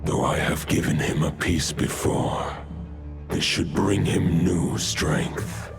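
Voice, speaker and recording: An actor playing an ancient, vast male wolf god who speaks in a grave, archaic, measured way, in a video game. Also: steady tone, over music, heavily acted. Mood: commanding. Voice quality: deep gravelly voice.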